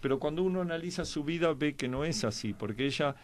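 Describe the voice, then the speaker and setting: A man's voice: on the high side, lively intonation, quick pace. An Argentine man giving conversational historical commentary as a programme panelist, with discursive, hesitant phrasing.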